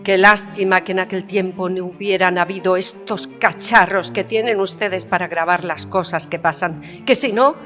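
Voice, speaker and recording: A woman's voice: on the low side, fairly even intonation, moderate pace, hardly any pauses, fairly bright tone, natural voice. An actress playing a proud, bitter, passionate queen, speaking in an emphatic, theatrical manner in an audio drama. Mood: indignant, haughty. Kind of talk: dramatised historical interview, audio fiction. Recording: long reverberant hall sound. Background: violin music.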